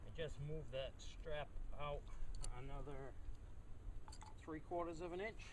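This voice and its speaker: A man's voice: fairly high pitch, measured pace. A man narrating a hands-on hobby task casually, thinking aloud in a plain-spoken way while he works outdoors. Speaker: hobbyist demonstrating antenna tuning. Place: outdoors, open yard. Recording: clearly noisy outdoor handheld camera audio.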